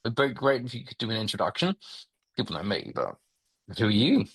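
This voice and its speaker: A man hosts a webinar in a relaxed, slightly halting way. His voice is a bit husky, and the recording is dry and close. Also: lowish voice, no echo. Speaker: webinar host. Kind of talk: casual webinar chat.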